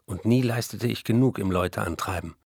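A reflective male writer in a radio drama, recalling his past like a storyteller. His voice is fairly low, and the recording is very dry with no room sound. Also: moderate pace, creaky, a little mellow, husky, no echo. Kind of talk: scripted radio play, first-person recollection.

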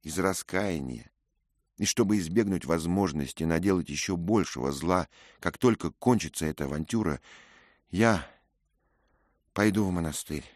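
An earnest, ashamed young squire pleading his case in a low, subdued voice, read by a man. The reading is close and dry, with no room sound. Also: animated, normal speed, no echo. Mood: remorseful. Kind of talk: audiobook character reading.

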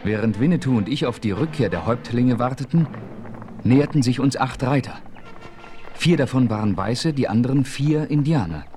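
A man playing a frontiersman hero who narrates his adventure in a measured, authoritative way in a German radio play. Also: medium pitch, expressive, darkish tone, soft background music, lightly acted. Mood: narrating.

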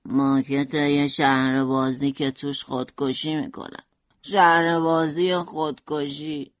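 A woman voicing a gloomy, morbid young man of twenty, speaking in a lowered, brooding tone. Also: dry recording, natural voice. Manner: low, brooding delivery. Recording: dull lo-fi audio.

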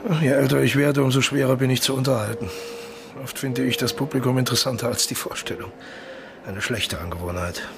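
An actor playing an aging colonel in a noir radio play, upright and authoritative without barking, politely blasé. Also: male voice, medium pitch, fairly quick, vocal fry, noisy background, natural voice. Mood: feigned casualness. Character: aging male colonel, upright, self-assured, urbane and blasé.

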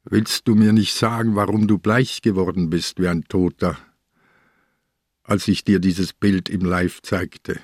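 An actor playing an old, sick, stubborn police inspector in a radio crime drama, speaking deliberately and insistently. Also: male voice, low-pitched, steady tone, darkish tone, husky, dry recording, studio quality. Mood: probing. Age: older.

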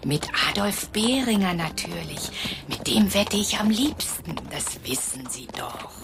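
A woman's voice: on the low side, big pitch swings, measured pace, creaky, background noise, exaggerated voice. An actress playing a teasing, haughty businesswoman in a German radio drama, drawling with theatrical amusement. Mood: amused, seductive. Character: teasing, haughty rich businesswoman pulling the strings.

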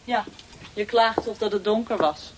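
An actress plays a female interrogator in a radio play, cold and mocking. She speaks with deliberate menace in a mid-pitched voice, over faint background noise. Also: natural voice. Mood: taunting, menacing. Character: cold, taunting female torturer for a military regime. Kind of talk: radio drama acting.

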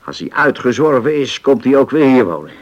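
An actor playing a genial old former sailor in a radio play, musing, teasing and repeating himself. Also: male voice, medium pitch, very animated, a little fast, dull audio. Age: older.

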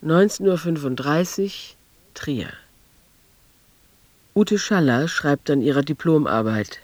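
A man's voice: on the high side, slow pace. An actor as a precise, matter-of-fact male narrator reading scripted radio drama narration. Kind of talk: scripted present-tense narration.

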